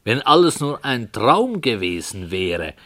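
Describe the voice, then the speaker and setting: An actor playing a stubborn, calm radio playwright who narrates his dark story with deliberate literary phrasing in a 1960s German radio drama. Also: medium pitch, full character voice. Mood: measured. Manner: measured, deliberate.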